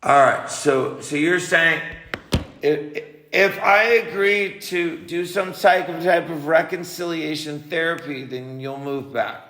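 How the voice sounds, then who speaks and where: Male, higher-pitched with a gravelly edge, confrontational and insistent, one side of a heated phone argument in an echoey room. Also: slow pace, pushed and bright. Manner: confrontational, insistent, repeating himself. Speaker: parent on a phone call.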